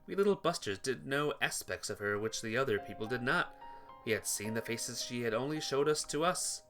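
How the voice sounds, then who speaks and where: A man's voice: mid-range voice, expressive, a little fast, music in the background. A man reading visual novel text aloud during a playthrough, sometimes stumbling and rereading phrases. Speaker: video game player reading aloud.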